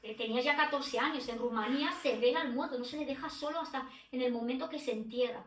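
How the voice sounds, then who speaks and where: A woman's voice: high-pitched, fast talker. A middle-aged woman from Romania, a guest in a podcast conversation, talking in a reflective way with many restarts and fillers. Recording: echoey room, distant mic.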